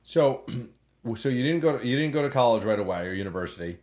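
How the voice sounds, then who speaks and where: A man's voice: medium pitch, animated, fairly quick, a little mellow. A man hosting a podcast interview, asking questions in a rambling way with many restarts and fillers. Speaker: podcast host. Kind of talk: conversational interview.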